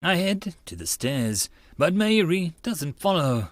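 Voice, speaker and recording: A man's voice: fairly high pitch, big pitch swings, slow, dry recording. A man narrating a first-person story in a dramatic audiobook style.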